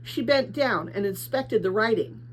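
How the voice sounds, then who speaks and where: A woman reading a children's novel aloud as an expressive storybook narrator. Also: medium pitch, measured pace, slight background noise, rough recording. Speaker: storybook reader.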